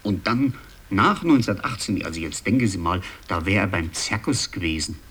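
A man playing a rambling, excitable land registry clerk and genealogy buff in a radio play, with a husky voice and a halting, insistent delivery. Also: low-pitched, full character voice. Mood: animated, gossipy. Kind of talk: conversational radio play acting.

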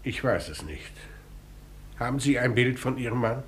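An actor playing a reserved, methodical police inspector in a radio drama, speaking in a measured, laconic way. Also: male voice, medium pitch, animated, fairly bright tone, lightly acted. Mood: sober. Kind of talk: 1950s German radio play acting. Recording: echoey, faintly hissy 1950s radio recording.

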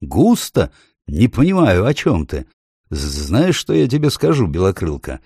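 A man's voice: lowish voice, huge pitch swings, unhurried, darkish tone, slightly rough, dry recording, clean studio sound, full character voice. A man voicing a grumpy, hard-of-hearing old male dwarf lord in a storybook, muttering irritably. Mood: grumbling, scornful. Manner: irritable muttering, mishearing and grumbling.

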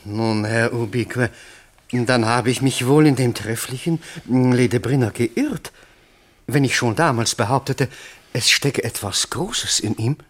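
A man acts a small-town apothecary in a literary radio drama. His voice is husky and of medium pitch, and he questions with open disbelief. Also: measured pace, natural voice. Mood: doubtful. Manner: incredulous, questioning. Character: doubting, plain-spoken small-town apothecary questioning the flatterer. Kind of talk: theatrical radio play acting of literary text.

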